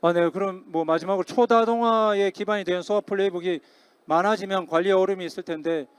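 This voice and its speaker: A man speaking as a technical expert in a formal conference Q&A, explaining politely and hesitantly with frequent pauses. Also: sits high, smooth, no echo. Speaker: conference speaker, security company technical director answering questions. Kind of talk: formal Q&A after a presentation. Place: conference hall stage, amplified talk.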